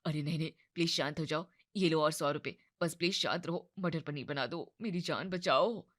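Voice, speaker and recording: A woman's voice: sits low, normal speed, dry recording, studio quality, pitched below the performer's own voice. A woman doing a panicky young man. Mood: panicked. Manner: pleading, flustered.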